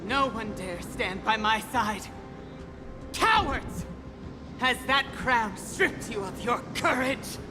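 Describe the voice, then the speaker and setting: A woman's voice: fairly high pitch, lively intonation, takes it slowly. A woman playing a fierce, defiant warrior queen and grieving mother, speaking in a theatrical, commanding, archaic way for a fantasy game. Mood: rallying, outraged. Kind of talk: dramatic fantasy game voice acting. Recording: long hall reverb, music underneath.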